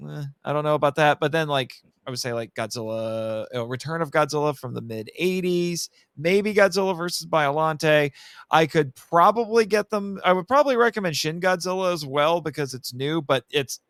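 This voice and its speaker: A man with a fairly high, smooth voice, recorded close and dry. He chats as a podcast guest, explaining things eagerly and drifting into tangents. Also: fairly bright tone, no room sound. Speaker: guest film expert. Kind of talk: casual podcast discussion.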